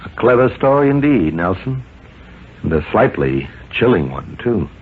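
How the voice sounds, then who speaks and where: A man with a fairly low voice speaks as a 1950s radio announcer. His intonation is lively, and the narrow broadcast sound has music clearly behind it. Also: moderate pace. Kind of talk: 1950s scripted radio hosting.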